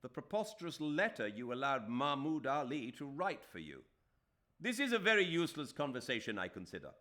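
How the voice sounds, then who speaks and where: A man's voice: mid-range voice, very animated, a little fast, sharp tone, pitched above the performer's own voice. A man voicing a proud, wounded Indian man who bursts into bitter, impassioned declarations in an audiobook. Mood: indignant.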